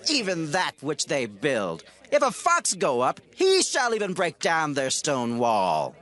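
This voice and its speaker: Tobiah, a taunting scoffer. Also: male voice, a little high, wildly swooping pitch, a little slow, a bit bright, full character voice. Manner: taunting, sing-song scorn. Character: mocking foreign ally, adult man, contemptuous.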